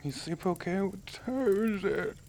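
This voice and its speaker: A man's voice: sits high, animated, a little slow, darkish tone, a little hiss or hum. A man playing a groggy, laid-back, foul-mouthed American teenage boy in an audio drama, mumbling drowsily in slang. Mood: drowsy, laid-back.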